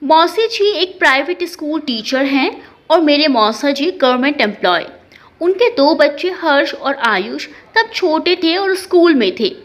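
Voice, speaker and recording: A woman narrating an emotional first-person story in an expressive, dramatic way. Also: high voice, a little slow.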